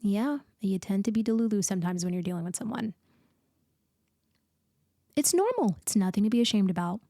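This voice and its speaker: A woman plays a blunt, caring female best friend in an intimate comfort roleplay. Her medium-pitched voice is conversational and unhurried in feel, with small hesitant pauses. The sound is close and very dry. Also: lively intonation, mellow, dry recording. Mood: teasing, blunt. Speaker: audio roleplay performer. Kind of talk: intimate roleplay ASMR, casual one-sided conversation.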